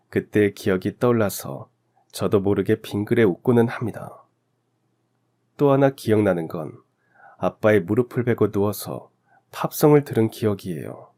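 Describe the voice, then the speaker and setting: Male narrator, low pitch, dark mellow tone, scripted first-person storytelling.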